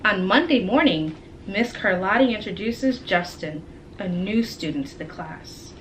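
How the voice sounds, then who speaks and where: Animated woman reading a picture book. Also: mid-range voice, slight background noise, low-quality recording. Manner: dramatic, engaging pauses and asides. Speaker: teacher reading a picture book aloud to children. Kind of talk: expressive storybook read-aloud with questions to listeners.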